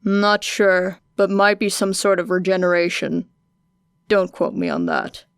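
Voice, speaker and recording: A woman voicing a tired, gruff grown man who talks in curt, clipped lines. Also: mid-range voice, unhurried, dry recording, clean studio sound, lightly acted. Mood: grumbling.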